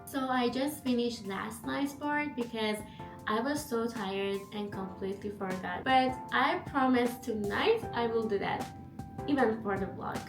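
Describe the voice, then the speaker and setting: A woman's voice: a little high, measured pace, echoey room, over music. A young woman with English as a second language, vlogging casually about her day from her apartment. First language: not English. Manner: chatty, upbeat, self-reflective. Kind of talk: casual vlog narration. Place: home apartment.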